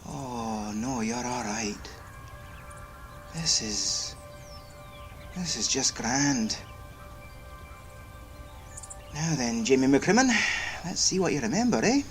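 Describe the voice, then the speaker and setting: A man playing a restless, plain-spoken young Highlander with a Scottish accent in an audio drama. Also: lively intonation, takes it slowly, long pauses, over music. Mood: musing. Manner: colloquial, grumbling. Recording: audible background noise.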